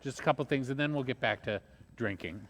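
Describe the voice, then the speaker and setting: A man's voice: mid-range voice, fast talker, fairly bright tone. A man hosting a casual, conversational interview. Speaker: host.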